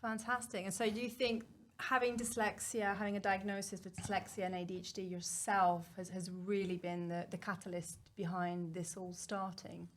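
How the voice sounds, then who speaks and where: A woman's voice: mid-range voice, flat delivery, normal speed, hardly any pauses. A woman interviewing a guest, asking questions and giving frequent short supportive affirmations. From English-speaking country. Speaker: interviewer, advisor. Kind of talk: casual interview conversation.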